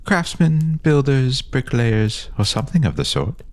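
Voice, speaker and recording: Male narrator as bossy older boy, pompous, formal, insistent, pitched up from a very deep voice, fantasy storybook. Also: very animated, unhurried, dry recording. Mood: secretive, boastful. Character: bossy, grandiose older boy pushing oaths on friends.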